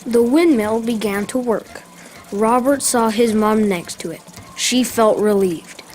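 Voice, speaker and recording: A woman's voice: a little high, a little slow, a little mellow, slight background noise, lightly acted. A young female narrator reading scripted storytelling for a school radio drama. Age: child or young performer.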